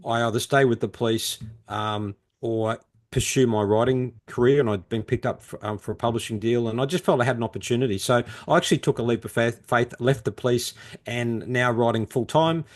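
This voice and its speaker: A man's voice: mid-range voice, steady tone, fairly quick. Middle-aged man, conversational. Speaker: podcast guest, crime novelist. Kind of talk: conversational interview answers.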